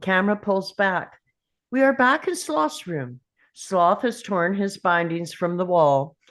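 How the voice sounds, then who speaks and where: Slow-paced woman reading stage directions. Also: medium pitch, expressive, a bit bright. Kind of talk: scripted narration at a table read.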